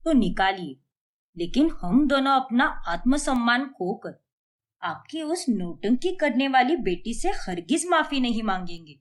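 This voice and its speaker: A woman's voice: a little high, very animated, a little slow. A woman voicing a proud young daughter-in-law in a cartoon story, firm and indignant. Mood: defiant.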